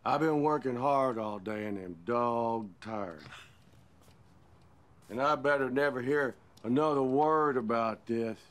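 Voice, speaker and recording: Domineering husband: slow, mid-pitched, threatening, contemptuous, film drama. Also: male voice. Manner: deliberate, threatening, folksy. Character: menacing, domineering working-class husband, gruff and contemptuous. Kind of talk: tense film drama acting.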